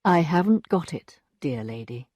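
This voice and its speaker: A woman reading an audiobook, voicing a calm, detached gentleman who speaks quietly and smoothly. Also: fairly low pitch, unhurried, dry recording, lo-fi sound. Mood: cool. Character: smooth, cool gentleman, former lover.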